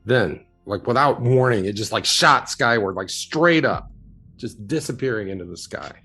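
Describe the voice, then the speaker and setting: A man playing a haunted, earnest UFO witness in an audio drama, telling his story haltingly. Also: medium pitch, lively intonation, bright, forceful tone, breathy edge, soft background music.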